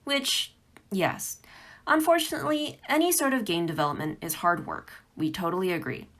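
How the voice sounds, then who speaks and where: A woman's voice: mid-range voice, big pitch swings, moderate pace, fairly bright tone. A woman presenting a pre-recorded instructional talk as a game developer, speaking in an emphatic, encouraging way.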